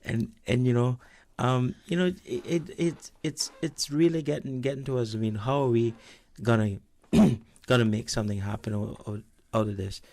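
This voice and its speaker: A man's voice: medium pitch, normal speed, hardly any pauses, darkish tone. A man speaking as a guest on a radio talk show, hesitant, with many stammers and restarts. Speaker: studio guest.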